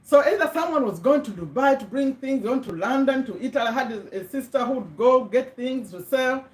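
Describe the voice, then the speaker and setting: A woman's voice: high-pitched, expressive, fairly quick. Chatty Ugandan woman, echoey room. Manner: chatty, checks in with viewers, repeats words for emphasis. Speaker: livestream host. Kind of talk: casual motivational livestream.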